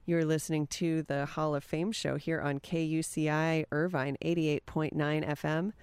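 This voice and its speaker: A woman's voice: deep voice, little pitch movement, normal speed, darkish tone, clean tone, no room sound. A woman hosting a college radio music show, chatting in an easygoing, meandering way between songs in a studio. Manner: easygoing, meandering, enthusiastic about old records. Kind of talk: casual radio back-announcing and chat.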